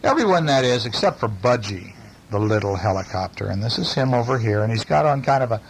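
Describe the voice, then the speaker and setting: A middle-aged man, a father chatting and reading casually to a child in a gentle, playful way, often asking little questions. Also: mid-range voice, lively intonation, brisk, vocal fry. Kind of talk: casual chat and bedtime story reading to a child. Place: at home, lying down beside a child. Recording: noisy amateur home recording.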